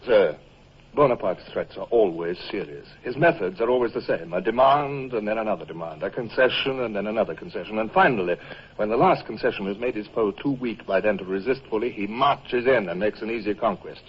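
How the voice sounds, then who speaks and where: An actor playing a grave, eloquent British naval commodore in a formal, oratorical old-time radio drama style. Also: male voice, fairly low pitch, animated, fairly quick, breathy edge, highs cut off. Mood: grave, impassioned.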